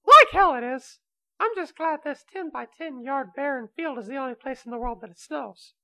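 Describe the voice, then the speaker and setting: A woman voicing an immortal magical cat, childish, gleefully wicked and excitable, in an amateur comedic radio play. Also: high-pitched, normal speed, exaggerated voice. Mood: indignant, puzzled. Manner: brash, excitable, whiny.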